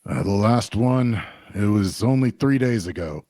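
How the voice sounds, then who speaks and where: A man's voice: lowish voice, a little slow, warm tone, no echo. Folksy small-town barkeep played by a man. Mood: grave, confiding. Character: plain-spoken, obliging male small-town barkeep. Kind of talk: audio drama table-read dialogue.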